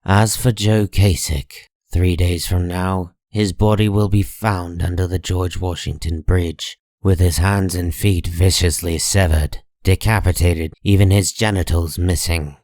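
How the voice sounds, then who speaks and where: A man narrating a comic dub in a measured, solemn and dramatic scripted style. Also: sits low, steady tone, a little mellow, no echo, studio quality.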